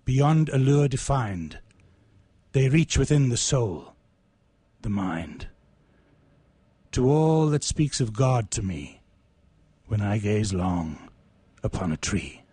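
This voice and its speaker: A man's voice: mid-range voice, sing-song, slow pace, mellow, husky, no room sound. A man who is a poet and co-host, talking and reciting in a reflective, theatrical manner with florid wording. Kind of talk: casual chat and recited poetry.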